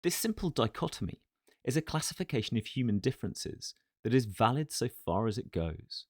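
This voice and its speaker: Measured man narrating in a dry close sound. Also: mid-range voice, big pitch swings, dry recording. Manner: measured, formal, deliberate. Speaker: audiobook narrator. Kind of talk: scripted narration of philosophical text.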